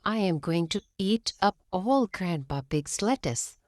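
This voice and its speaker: A playful little girl pig from a picture book, played by a woman. She is curious and excitable, with a swooping pitch and an unhurried pace. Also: no room sound, studio quality, lightly acted. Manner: excitable, giggly.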